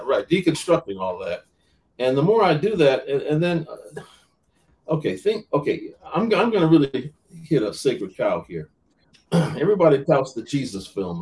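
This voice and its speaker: A man's voice: on the high side, a little mellow, far from the mic. A man who works as a minister, talking earnestly in an interview and often restarting and repeating words mid-sentence. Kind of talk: podcast interview talk.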